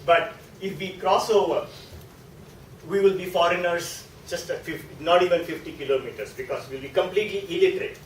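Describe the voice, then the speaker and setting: A South Indian man gives an informal lecture in a conversational, explanatory way, often adding a short tag question. His voice sits a little high for a man and carries a South Indian accent. He is recorded at some distance from the microphone in an echoey room, with faint noise behind him. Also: brisk. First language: a South Indian language. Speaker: guest lecturer.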